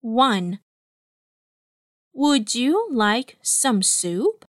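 A woman reading an English listening test aloud with careful, clear delivery, saying each item twice. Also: a little high, very animated, extremely slow, no room sound, clean studio sound. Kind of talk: slow, deliberate test dictation.

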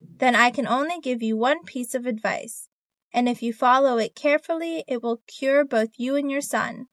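Matter-of-fact doctor, voiced by a woman, fairly high voice, dry booth sound. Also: moderate pace, dry recording, close to an everyday voice. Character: calm, no-nonsense doctor. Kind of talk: scripted listening-test dialogue.

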